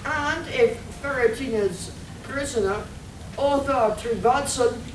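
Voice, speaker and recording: A woman's voice: high voice, takes it slowly. A woman narrating a scripted radio play in a theatrical storytelling manner. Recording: echoey hall, distant mic, noisy.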